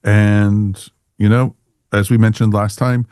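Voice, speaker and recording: A man's voice: fairly low pitch, little pitch movement, slow pace, darkish tone, no room sound, studio quality. A man from the United States hosting a podcast in a chatty, rambling, welcoming way. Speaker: podcast host. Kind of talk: casual podcast talk.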